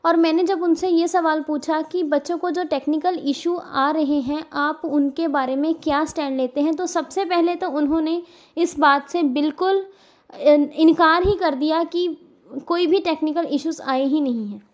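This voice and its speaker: Woman, Indian accent, high-pitched voice, student-facing educator, informal update style, earnest, emphatic, repeats key points. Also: fairly even intonation, normal speed. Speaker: exam coaching educator giving an update to students. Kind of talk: informal explanatory update addressed to viewers.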